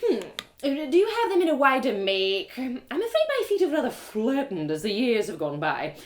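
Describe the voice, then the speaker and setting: A woman's voice: high-pitched, huge pitch swings, moderate pace, voice pushed up. A woman voicing a fussy, pompous older male customer in a storybook, with affected, exclamatory delivery. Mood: chatty, sheepish.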